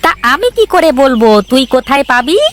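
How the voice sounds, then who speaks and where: Man acting a high-pitched, nagging village shopkeeper. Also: animated, brisk, fairly bright tone. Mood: nagging, demanding. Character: scolding village fish-feed shopkeeper, pushy man demanding money. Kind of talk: cartoon voice acting.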